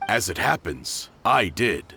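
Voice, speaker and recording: A man's voice: deep voice, sing-song, a little slow, creaky, pushed and bright, a little hiss or hum, heavily acted. A man playing a casual, helpful townsman in an anime video game.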